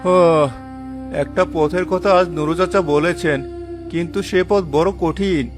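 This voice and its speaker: Guy doing a poor fisherman husband for a cartoon, highish voice, earnest n dramatic, music behind. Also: steady tone, unhurried. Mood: weary, dejected.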